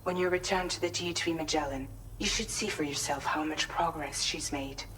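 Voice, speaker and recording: Woman acting a female crewmate, lowish voice, caring, gently insistent, game cutscene, faint noise. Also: steady tone, normal speed, far from the mic, natural voice.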